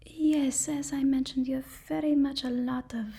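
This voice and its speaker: A woman playing a deferential, flustered personal assistant to a supervillain in a halting, whispered ASMR roleplay. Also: sits high, fairly even intonation. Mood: obsequious, flustered. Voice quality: soft, breathy whisper.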